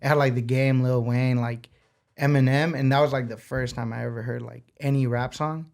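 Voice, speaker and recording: A man's voice: mid-range voice, normal speed, creaky. A man from Baja, Mexico, raised in San Diego, telling stories as a rapper guest in a casual interview, full of slang and swearing. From born in Baja, Mexico, raised in San Diego. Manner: slangy, animated storytelling with frequent "like" and swearing.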